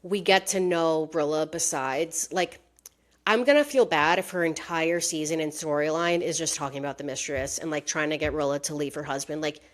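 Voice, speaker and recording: A woman's voice: low voice, moderate pace. Chatty woman spinning reality-TV rumors. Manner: animated, acts out quoted lines in voices; hedging, pieces rumors together. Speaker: podcast co-host. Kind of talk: casual gossip chat.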